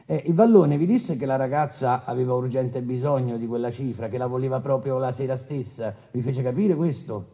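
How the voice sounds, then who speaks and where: A man's voice: medium pitch, fairly quick, few pauses, dull audio, close to an everyday voice. An actor playing a brusque male presiding judge in a radio courtroom drama, formally and insistently questioning a defendant. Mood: pressing. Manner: insistent, interrupting, official.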